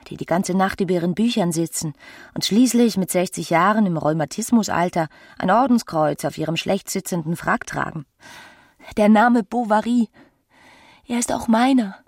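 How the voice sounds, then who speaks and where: An actress playing a bored, melancholy young doctor's wife in a literary radio play, brooding and intimate, at times near whispering. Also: female voice, on the low side, no room sound, studio quality. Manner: brooding, breathy, sometimes sinking into whispers.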